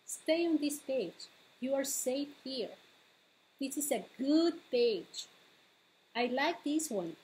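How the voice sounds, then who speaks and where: A middle-aged woman hosts a bilingual storytime as a children's librarian. Her voice sits a little high and she goes very slowly and deliberately through the picture book. She reads expressively for preschoolers in a living room at home. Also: poor audio. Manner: expressive, deliberate, switching between English and Spanish.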